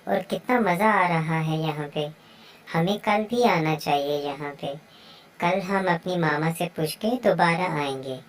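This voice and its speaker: A woman voicing an eager, playful young bird child in a children's moral story cartoon. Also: fairly low pitch.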